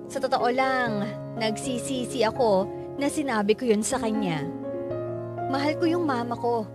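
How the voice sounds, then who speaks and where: A woman's voice: fairly high pitch, natural voice. Sorrowful young daughter narrating , played by a woman. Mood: regretful. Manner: sorrowful, slow, reflective confiding. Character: hurt young woman, weary daughter narrating her estrangement. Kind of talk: radio drama first-person narration. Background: clear music under the voice.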